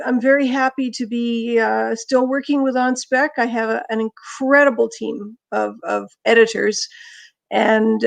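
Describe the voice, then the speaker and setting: Woman accepting an award on a video call, raspy high voice, stumbling through it. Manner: halting, many restarts, moved. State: losing her voice. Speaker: award winner, magazine managing editor. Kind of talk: unprepared acceptance speech.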